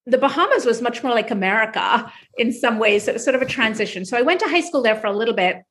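A woman with a Jamaican accent, a physician guest, telling a lively personal story in an interview. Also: medium pitch.

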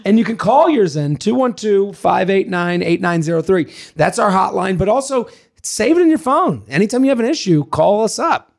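A man co-hosting a podcast in casual, joking chat, hoarse as his voice comes back. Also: sits high, normal speed. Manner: joking, animated, self-mocking. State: hoarse, voice recovering. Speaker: podcast co-host.

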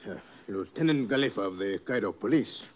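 A formal police lieutenant, played by an actor, speaks with a low voice that swings widely in pitch. He hesitates politely before each statement. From a 1950s radio drama. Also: animated, a little fast, lightly acted. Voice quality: formal, hesitant, foreign-sounding. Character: formal middle-aged male Cairo police lieutenant.

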